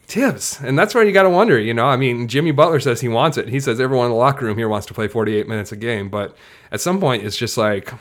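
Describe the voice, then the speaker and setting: A man's voice: mid-range voice, quick pace. A man co-hosting a sports podcast in a casual, opinionated, self-deprecating way. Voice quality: slight trouble saying hard NG sounds.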